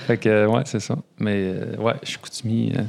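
A man in his thirties with a Saguenay Quebec French accent, hosting a podcast in a relaxed, halting chat full of fillers. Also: on the low side, creaky. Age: 30s. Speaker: podcast host. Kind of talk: casual interview chat.

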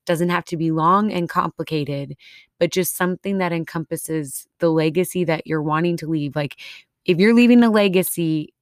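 A low-pitched woman with a Minnesota accent, co-hosting a faith podcast. She talks earnestly and encouragingly in a casual chat. The sound is dry and close. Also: moderate pace, no room sound. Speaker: podcast co-host.

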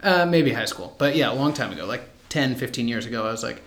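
A man's voice: medium pitch, lively intonation, fast, a bit bright. A young man co-hosting a podcast in casual chat, laid-back and matter-of-fact with dry quips. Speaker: podcast co-host. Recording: roomy echo.

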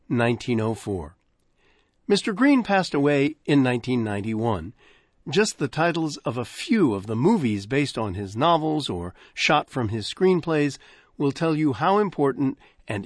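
A man introducing a vintage radio program from a studio, speaking in a measured, articulate way. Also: mid-range voice, dry recording. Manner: measured, articulate, lively delivery. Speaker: radio host. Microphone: close studio microphone. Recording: dry close studio sound.

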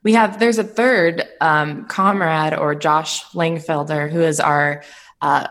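A woman's voice: deep voice, steady tone, takes it slowly, a bit bright. A woman from the United States, a startup co-founder answering podcast questions remotely, upbeat and chatty. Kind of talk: casual interview answers. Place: Papillion, Nebraska, remote call.

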